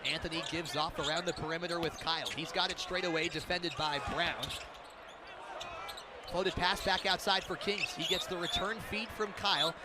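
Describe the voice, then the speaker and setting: A man doing live radio play-by-play of a basketball game, an excitable announcer who builds to loud calls on big plays. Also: on the high side, normal speed. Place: courtside in a large indoor arena. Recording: crowd noise, bouncing ball in arena. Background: arena ambience, basketball bounces.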